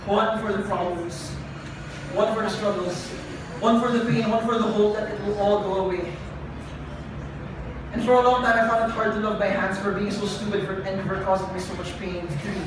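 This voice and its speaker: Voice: medium pitch, fairly even intonation, moderate pace. Echoey, distant young spoken word poet. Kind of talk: spoken word poetry performance, confessional. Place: live event venue with room ambience. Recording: echoey live room, distant mic, noisy.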